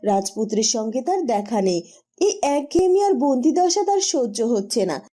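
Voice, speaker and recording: Female narrator for cartoon fairy tales: soft dark timbre, raised pitch, scripted storytelling. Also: sing-song, normal speed.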